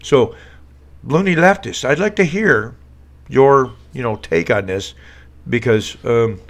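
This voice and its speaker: A man's voice: mid-range voice, expressive, takes it slowly. A man hosting a casual live stream from home, talking politics in a sarcastic, rambling way with many fillers and restarts. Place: home room with a green screen.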